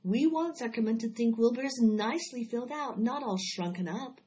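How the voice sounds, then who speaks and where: A woman's voice: fairly high pitch, mellow, muffled recording, voice pushed up, natural voice. Woman as kindly, commanding storybook spider. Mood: polite, firm. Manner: polite, deliberate, firm. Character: kindly, commanding female spider, wise and patient.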